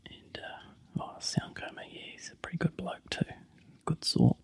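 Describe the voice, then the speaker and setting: A man with a New Zealand accent, whispering softly in ASMR style with frequent ums. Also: moderate pace, no echo.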